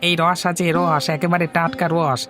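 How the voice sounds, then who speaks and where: A man voicing an eager cartoon market hawker, crying out wares in drawn-out vendor calls. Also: fairly high pitch, steady tone, over music, close to an everyday voice. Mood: touting. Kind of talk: cartoon voice acting.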